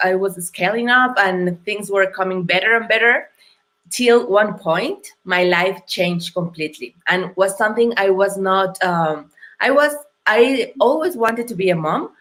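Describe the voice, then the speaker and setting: A woman from Mexico with a Mexican accent, a non-native English speaker, telling her story as an interview guest in an earnest, thoughtful and halting way. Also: mid-range voice, a bit bright. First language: Spanish. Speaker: guest entrepreneur.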